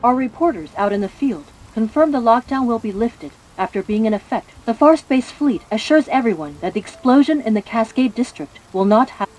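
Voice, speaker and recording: Woman playing a higher-pitched formal news reporter. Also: expressive, a little fast, few pauses, a little mellow, close to an everyday voice, rough recording. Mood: formal, composed. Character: formal female news reporter in science fiction world. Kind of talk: scripted news broadcast.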